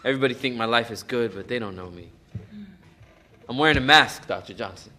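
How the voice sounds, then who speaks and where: An actor playing a guarded young man in a stage play, hesitant and halting as he talks to his therapist. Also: mid-range voice, sharp tone, lightly acted. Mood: troubled. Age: young adult. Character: young man, guarded, hiding inner turmoil. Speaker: therapy client.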